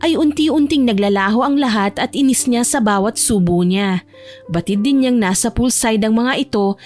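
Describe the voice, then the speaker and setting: A woman's voice: a little high, a little mellow. A woman narrator reading a scripted romance story in storytelling style. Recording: music bed behind the voice.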